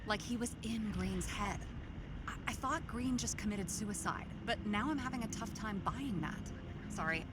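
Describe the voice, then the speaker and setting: An actress playing a sharp, skeptical police partner in a noir video game, frank and probing. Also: female voice, medium pitch, moderate pace, very noisy, close to an everyday voice. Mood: uneasy, reasoning. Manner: blunt, probing, conversational. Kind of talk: cinematic noir game dialogue.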